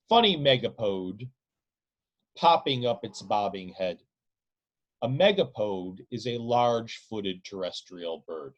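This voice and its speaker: A man reading his own novel aloud in a dramatic literary style, with deliberate, emphatic delivery and repeated phrases. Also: medium pitch.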